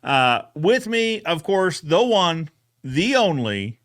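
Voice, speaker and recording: A man's voice: a little high, big pitch swings, slow pace, fairly bright tone. A man hosting a casual podcast recap, chatting in an upbeat, informal and colloquial way. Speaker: show host, fence expert.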